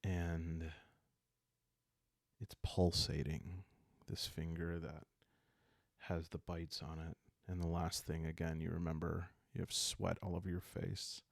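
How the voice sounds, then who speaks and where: Male ASMR storyteller: low, gravelly, calm and halting, for a solo roleplaying game. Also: no echo, studio quality. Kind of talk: calm ASMR narration of a dark journaling game.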